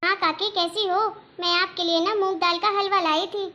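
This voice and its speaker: Sweet young neighbour woman voiced by a woman, soft and friendly, high voice. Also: steady tone, moderate pace, muffled recording.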